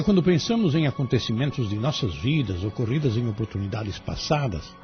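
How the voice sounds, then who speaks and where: A man playing a benevolent, fatherly guardian spirit in an audio drama, speaking with solemn, oratorical consolation and a Brazilian accent. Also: a little high. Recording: dull lo-fi audio with music behind.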